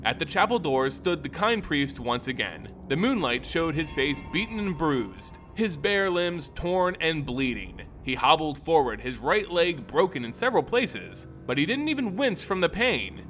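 Animated male fantasy storyteller over music. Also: fairly high pitch, moderate pace, few pauses. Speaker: narrator. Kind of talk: dramatic scripted fantasy narration.

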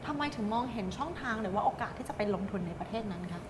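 A woman in her thirties hosting a studio business talk show, bright and quick, with steady agreeing sounds. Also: medium pitch, noisy background. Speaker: host.

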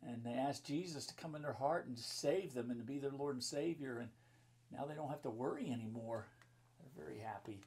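A man's voice: medium pitch, fairly quick, darkish tone, breathy edge. A man in his fifties, a pastor reading and talking to children in simple, explanatory phrases as in a Bible story time. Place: book-lined room indoors.

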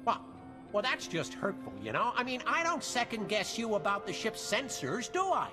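High-voiced fussy mechanic. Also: male voice, huge pitch swings, moderate pace. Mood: defensive. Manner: fussy, defensive. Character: brainy, touchy, argumentative young starship mechanic. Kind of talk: video game cutscene acting. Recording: loud music behind.

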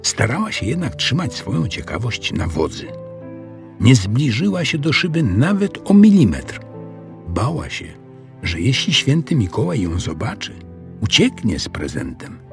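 A man narrating a children's audiobook in an expressive storytelling style. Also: medium pitch, unhurried, creaky, music in the background.

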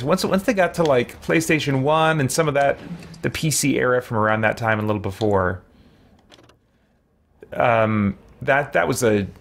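A man in his thirties giving casual livestream commentary as he plays a video game, chatting and thinking out loud in a rambling way. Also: mid-range voice. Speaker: live streamer, game player. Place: small indoor room with shelves. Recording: clear game sound behind the voice. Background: video game ambience and effects.

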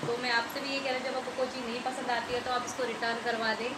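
Casting: high-pitched woman in her thirties doing a rambling, repetitive home unboxing vlog, recorded in an echoey tiled room. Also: little pitch movement, fast. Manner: chatty and rambling, repeats herself; gets more emphatic when complaining. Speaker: vlogger. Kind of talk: casual product unboxing review. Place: small tiled room indoors. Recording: echoey tiled room, loud background hiss.

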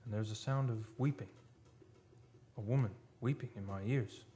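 A man's voice: fairly low pitch, moderate pace, dark tone, lightly acted. An actor playing a solemn, haunted man devoted to his beloved in a live radio drama, speaking dramatically. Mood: mournful. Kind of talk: live vintage-style radio drama narration and acting. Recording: noisy live theater radio recording.

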